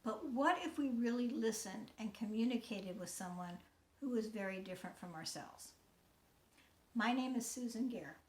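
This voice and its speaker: Woman, 60s, teacher reading a talk, earnest, a little high-pitched, roomy recording. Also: moderate pace, darkish tone. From United States. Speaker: teacher and mentor. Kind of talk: scripted talk, read aloud.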